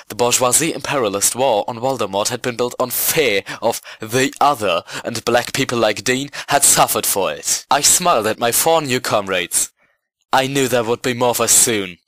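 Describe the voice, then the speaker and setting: A man reading as a self-satisfied revolutionary narrator in a scripted story, measured and earnest. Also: mid-range voice, fairly bright tone, no echo, close to an everyday voice. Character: smug first-person schoolboy narrator, a self-satisfied revolutionary.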